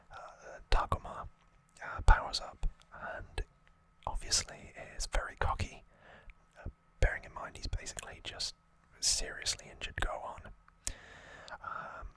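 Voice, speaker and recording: An ASMR host whispering a rambling recap in a breathy, halting voice, correcting slips along the way, close to the microphone. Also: bright, forceful tone. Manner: hesitant, halting phrasing with self-corrections.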